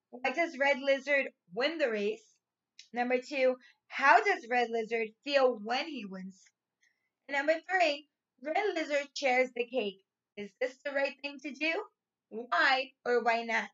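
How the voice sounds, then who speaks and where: A woman in her thirties, a primary school teacher reading and explaining to young children in an expressive, clearly enunciated way. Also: high voice, unhurried, dull audio. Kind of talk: read-aloud story and lesson for young children. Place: small furnished room at home, indoors.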